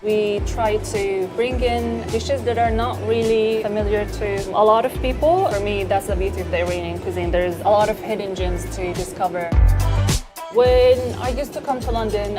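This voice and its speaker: A woman chef walks through a recipe step by step in a measured, explanatory way, speaking at an ordinary pitch. Her tone is gently encouraging as she talks in a kitchen. Music plays clearly behind her. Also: steady tone. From eastern Saudi Arabia. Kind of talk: cooking demonstration with calm step-by-step instructions. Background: background music.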